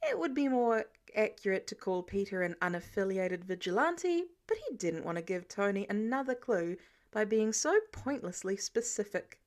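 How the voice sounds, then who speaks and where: A woman's voice: mid-range voice, animated, normal speed, a little mellow. A woman reading scripted fiction narration as an audiobook narrator. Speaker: podfic narrator.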